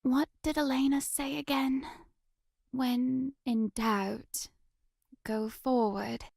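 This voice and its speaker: A woman's voice: high-pitched, fairly even intonation, slow pace, vocal fry, darkish tone, no room sound, studio quality, exaggerated voice. A woman voicing a dreamy, curious young wandering witch in a visual novel, with a musing, theatrical delivery.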